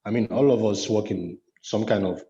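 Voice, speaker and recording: A man's voice: fairly low pitch, normal speed, mellow. A man in a conversational discussion, explaining his point by acting out little examples. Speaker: podcast discussion panelist. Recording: echoey, dull online call audio.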